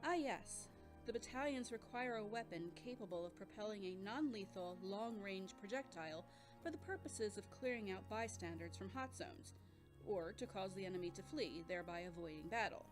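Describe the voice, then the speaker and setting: A woman's voice: mid-range voice, normal speed, over music, close to an everyday voice. A woman playing a composed military scientist in an audio drama, formal and matter-of-fact in manner. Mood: composed, businesslike.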